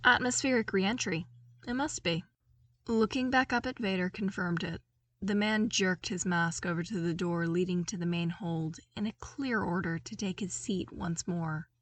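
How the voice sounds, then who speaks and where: A woman reading a story aloud as an audiobook narrator, in an expressive scripted style. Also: fairly low pitch, moderate pace.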